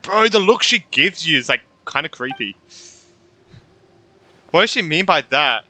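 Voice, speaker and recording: A young man giving casual let's-play commentary, excitable and rambling in asides as he streams a game. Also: on the high side, unhurried. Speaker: gaming streamer.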